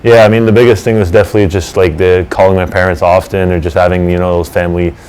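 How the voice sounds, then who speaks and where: Young Canadian-raised male athlete, deep and even delivery, casual interview. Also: fast, slight background noise, low-quality recording. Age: 20s. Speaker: student soccer player.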